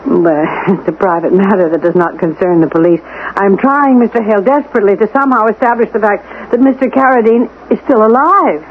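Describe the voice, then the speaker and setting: A woman's voice: medium pitch, brisk, natural voice. Woman acting a determined amateur sleuth, tinny recording. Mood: earnest, reassuring. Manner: insistent, precise, challenging. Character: determined, probing female amateur sleuth, persistent and composed. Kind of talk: 1970s radio drama acting. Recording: tinny, noisy old radio broadcast recording.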